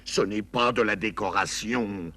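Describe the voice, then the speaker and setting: An actor playing a stern, fussy but patient cartoon master, explaining things deliberately. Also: male voice, fairly low pitch, bright, forceful tone, a little hiss or hum. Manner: deliberate, instructive. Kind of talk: cartoon acting.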